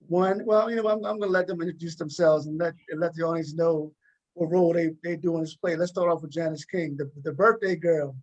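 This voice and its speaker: A man with a fairly high-pitched voice hosts an interview in a conversational way. He feels his way through questions, often doubling back on words. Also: fairly even intonation, a little fast, highs cut off. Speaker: host and interviewer.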